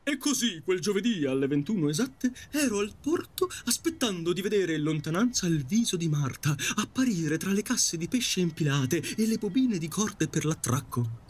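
Audio drama narrator, male actor, an earnest lover looking back, husky, slightly high voice. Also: sing-song, moderate pace, few pauses, exaggerated voice. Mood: reflective. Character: earnest lover recounting his past story. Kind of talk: scripted first-person narration in audio drama.

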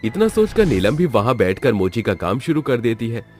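A man's voice: mid-range voice, sing-song, normal speed, a little mellow, faint music behind. A man giving scripted narration as the storyteller of a horror tale.

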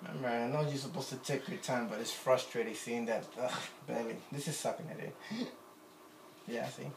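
Casual vlogger, Puerto Rican accent, self-deprecating, roomy kitchen with faint noise behind. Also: male voice, mid-range voice, fairly quick, creaky. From Puerto Rico. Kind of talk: casual chat while cooking. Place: home kitchen, indoors. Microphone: phone camera on counter. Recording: echoey kitchen with faint ambience.

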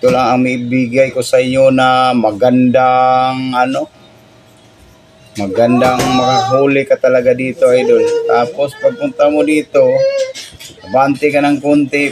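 A man's voice: mid-range voice, level tone, unhurried, background noise. A young Filipino man casually talking viewers through fishing spots on a sea chart, recorded on a phone in a small room, often calling the viewer "idol". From Philippines. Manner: chatty, keeps addressing the viewer as "idol". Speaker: fishing channel host.

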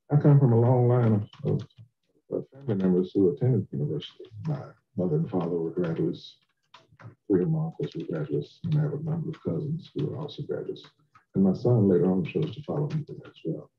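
An older man with a very deep voice speaks with quiet pride about his family's ties to the campus. The sound is roomy and a little dull, with faint hiss behind him. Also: lively intonation, a little fast, gravelly. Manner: proud, reflective storytelling. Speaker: alumnus. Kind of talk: documentary interview.

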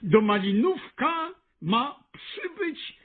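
An actor in a radio play voicing a nervous, earnest stationmaster with lofty ambitions, stammering and husky. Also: male voice, very high-pitched, sing-song, drawn-out words, full character voice. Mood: eager, nervous. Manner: stammering, hesitant, eager, solemn. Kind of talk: Polish radio drama acting. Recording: muffled, band-limited radio recording.

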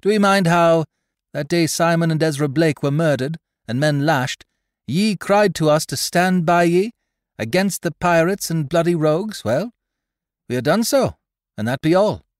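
A man's voice: on the high side, lively intonation, normal speed, no echo, clean studio sound, pitched above the performer's own voice, close to an everyday voice. A man voicing a bold, emphatic sailor with rustic seafaring speech in a storybook. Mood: cold insistence. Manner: emphatic, cold, dialect-laden. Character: bold male sailor with rustic speech, protesting his honesty. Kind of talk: audiobook character voice, old seafaring dialect.

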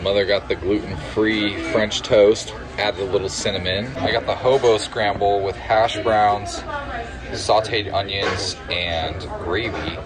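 A man's voice: lowish voice, fairly even intonation, measured pace, over music. A man in his forties hosting a casual travel vlog, holding the camera at arm's length as he films himself in a diner and then outside it. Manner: upbeat, talks his mother through her meal step by step. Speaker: vlogger. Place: diner in an old train car, then outdoors by it. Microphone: handheld camera at arm's length.

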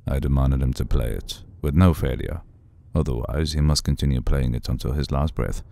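Man playing a gravelly, very deep-voiced vampire lord. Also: steady tone, warm tone. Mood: quietly menacing. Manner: slow, deliberate, formal, brooding. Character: aloof, menacing male vampire lord of a castle. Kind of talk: ASMR roleplay.

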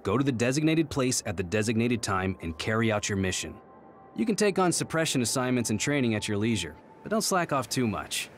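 A man's voice: mid-range voice, big pitch swings, a little fast, faint music behind, natural voice. A man playing a no-nonsense squad captain in an anime game, curt, authoritative and dryly weary. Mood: businesslike. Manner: curt, authoritative, matter-of-fact.

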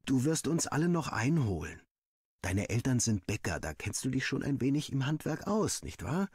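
An earnest schoolboy hero from a storybook, acted by a male narrator. The voice sits higher than the man's narration and sounds eager and quick-witted. The recording is very dry. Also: sing-song, darkish tone, breathy edge, no room sound. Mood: encouraging.

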